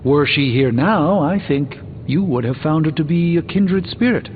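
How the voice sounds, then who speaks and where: An actor playing a learned, composed sorcerer in a video game, speaking formally and articulately with dry wit. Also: male voice, on the high side, expressive, normal speed, phone-quality sound, a little hiss or hum, natural voice. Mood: measured, grave. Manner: articulate, formal, dryly wry. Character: learned, composed, dryly witty adult male sorcerer. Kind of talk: video game character dialogue. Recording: thin band-limited audio under loud music.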